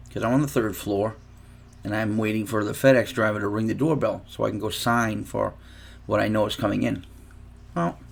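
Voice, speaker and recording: A man's voice: mid-range voice, gravelly. A man chatting casually in an unboxing vlog, telling a long anecdote with asides and restarts. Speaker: guitar reviewer.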